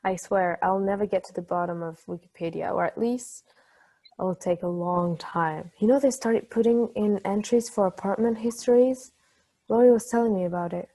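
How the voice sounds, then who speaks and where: A woman's voice: medium pitch, moderate pace, close to an everyday voice, poor audio. A woman acting an affectionate, brainy, playful partner in a casual, conversational table-read style. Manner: casual, conversational, gently teasing.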